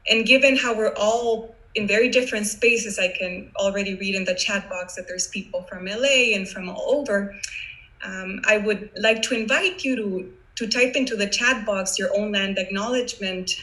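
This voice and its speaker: A woman's voice: medium pitch, normal speed, pushed and bright, poor audio. A woman in her thirties from Honduras, earnestly opening an online panel over a video call, formal with small restarts. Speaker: webinar host.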